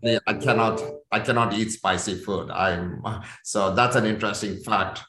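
Male executive from India, lowish voice, enthusiastic interview guest, roomy echoing sound. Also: fairly even intonation, moderate pace, background noise. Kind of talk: conversational business interview. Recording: echoey room.

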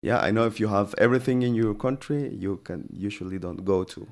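A podcast host whose first language is Spanish, interviewing a guest in English. His voice is low, and his friendly questions come out in halting bits with repeated words. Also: creaky, darkish tone. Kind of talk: casual interview.

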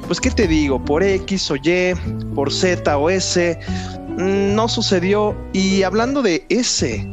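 A man with a Mexican accent hosting a podcast in a chatty, rambling way, drawing out words for emphasis. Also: a little high. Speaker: podcast host. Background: background music.

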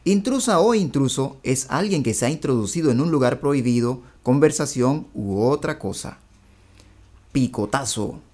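A man's voice: medium pitch, darkish tone. A man hosting a religious program, interviewing a guest with friendly, affirming interjections. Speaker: radio show host. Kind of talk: interview, presenting segments.

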